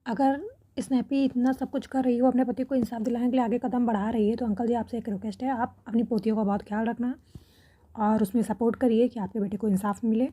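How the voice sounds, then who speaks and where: A woman delivers opinion commentary straight to her audience. Her voice sits a little high but has a very mellow, relaxed timbre. She speaks earnestly, often restating a phrase to drive it home. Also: brisk, breathy edge. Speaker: reaction video host commenting on a family controversy. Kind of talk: opinion commentary addressed to viewers.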